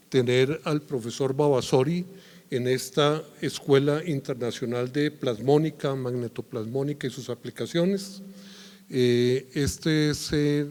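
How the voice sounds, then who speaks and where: A man, a university host formally welcoming a guest speaker, pausing on fillers. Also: mid-range voice, darkish tone. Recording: reverberant lecture hall.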